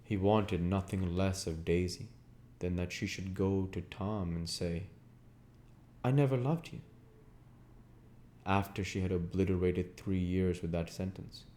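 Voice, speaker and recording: A man's voice: deep voice, mellow, slight background noise. A man narrating literary fiction as an audiobook reader, in a scripted style.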